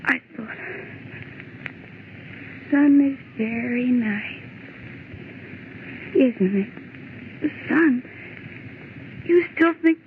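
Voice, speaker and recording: An actress playing a terrified young typist trapped in the dark, halting and overwrought, in a vintage radio horror play. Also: female voice, high voice, animated, full character voice. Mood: frightened, dazed. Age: young adult. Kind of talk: 1940s radio horror acting. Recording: tinny, hissy old radio recording.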